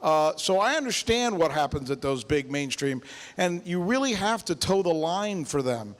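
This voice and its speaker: A man's voice: fairly high pitch, big pitch swings, moderate pace. A man speaking as a panelist, arguing his point in a live discussion. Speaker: panelist, journalist. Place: event hall panel. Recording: reverberant hall.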